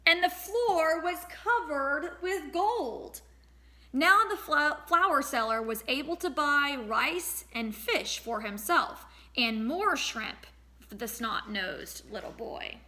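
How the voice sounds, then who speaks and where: A woman reading a storybook aloud as a teacher, expressive and pausing to address young listeners. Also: sits high. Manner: expressive, acts out sound words.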